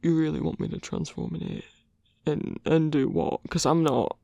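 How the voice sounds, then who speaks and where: A man playing a grumpy but tender werewolf lover in human form, speaking hesitantly with sighs and a husky, gravelly voice in an intimate ASMR roleplay. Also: a little high, dark tone, no room sound. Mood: bashful, incredulous. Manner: halting, hesitant, sighing, gruffly bashful.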